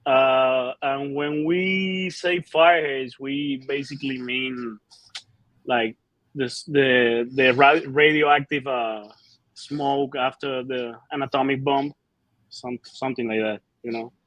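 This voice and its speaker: Halting Cuban-born metal singer, dry sound. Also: male voice, mid-range voice, fairly even intonation, fairly bright tone, dry recording, rough recording. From Cuba. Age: 30s. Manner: halting, many fillers and restarts. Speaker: band singer and bassist. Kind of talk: casual interview answers.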